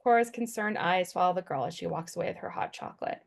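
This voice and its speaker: A woman's voice: lowish voice, fast talker, creaky. A woman reading scripted stage directions as the narrator of a table read.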